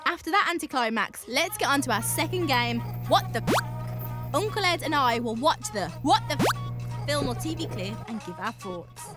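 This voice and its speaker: A woman's voice: high voice, wildly swooping pitch, normal speed, music in the background. Teasing young woman host. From Birmingham, England. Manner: teasing, playful. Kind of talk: scripted presenting and casual chat. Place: indoor living room set.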